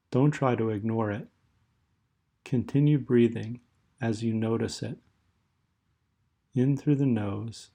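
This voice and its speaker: A man leading a guided meditation in a soothing, measured way, leaving long pauses as he speaks. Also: medium pitch, warm tone, husky.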